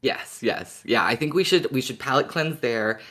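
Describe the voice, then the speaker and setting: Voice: medium pitch, lively intonation, normal speed, a bit bright. A podcast co-host chatting casually in a fan discussion.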